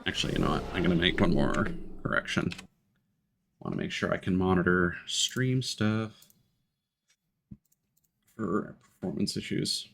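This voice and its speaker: Very deep-voiced man, casual game streamer. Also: moderate pace, gravelly, long pauses, fairly bright tone, slight background noise. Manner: musing, thinking aloud. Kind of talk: casual gaming livestream commentary.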